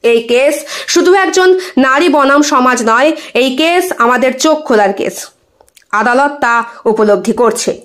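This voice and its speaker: A woman's voice: high-pitched, animated, unhurried, fairly bright tone. A woman voicing a solemn male judge in an audio story, formal and authoritative. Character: solemn male judge presiding over a court.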